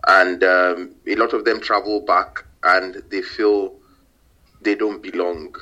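Low-voiced man, startup founder in interview, halting with fillers, dull lo-fi audio. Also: level tone, pushed and bright. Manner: hesitant, many fillers and repeated words. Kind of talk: casual interview.

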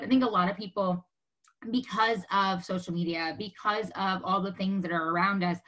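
A woman hosts a podcast in a bright, medium-pitched voice. She is chatty and complimentary, working her way conversationally toward long, roundabout questions. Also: poor audio. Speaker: podcast host. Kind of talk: conversational interviewing.

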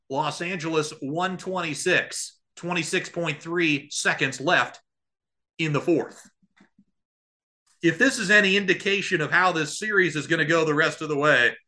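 A man doing live basketball play-by-play for radio. His voice is very bright and sharp, pitched a little high for a man. He delivers the commentary in an excitable sportscaster manner. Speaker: play-by-play announcer.

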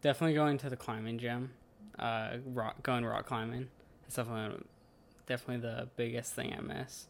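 A man's voice: mid-range voice, steady tone, fairly quick, gravelly, slight background noise. A 21-year-old man with an American accent, answering interview questions casually. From Washington state, United States. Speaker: interviewee, heavy equipment mechanic.

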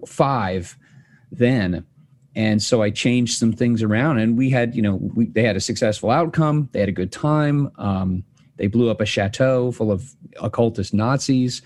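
A man's voice: on the low side, darkish tone. Man hosting a tabletop podcast. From United States. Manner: rambling, with many fillers and restarts. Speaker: podcast host. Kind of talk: casual chat.

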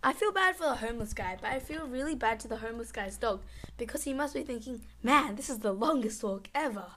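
A woman's voice: fairly high pitch, lively intonation, quick pace, a bit bright, slight background noise. A young woman co-hosting a casual podcast, reading out jokes and puns between giggles. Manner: giggly, reads puns aloud. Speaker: podcast co-host.

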